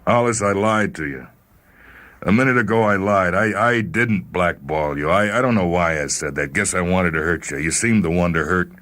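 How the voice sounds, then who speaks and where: A man voicing an aging, apologetic spaceman who confesses haltingly in a dramatic story reading. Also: deep voice, fairly bright tone, pitched above the performer's own voice. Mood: remorseful, confessing. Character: aging spaceman, remorseful old rival confessing before death.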